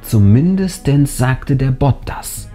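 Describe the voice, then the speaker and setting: Animated man narrating a scary story, mellow voice. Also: medium pitch, slow pace. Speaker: narrator and channel host. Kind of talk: scripted narration of a first-person horror story, read aloud. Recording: music bed behind the voice. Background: background music.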